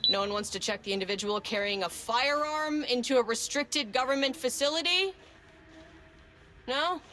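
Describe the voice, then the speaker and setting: An actress playing a dry, skeptical government investigator in a video game, with a deadpan, sardonic delivery. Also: female voice, high-pitched, normal speed, lightly acted. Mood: dry, official. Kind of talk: video game character monologue. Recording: loud music behind the voice.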